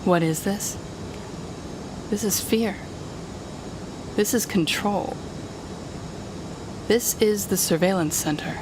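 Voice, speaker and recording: Woman acting a low-voiced, taunting telepathic villainess. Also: noisy background, lightly acted. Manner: taunting, condescending, deliberate. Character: smug, menacing female telepathic villain, taunting and controlling. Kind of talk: audio roleplay acting, addressing the listener.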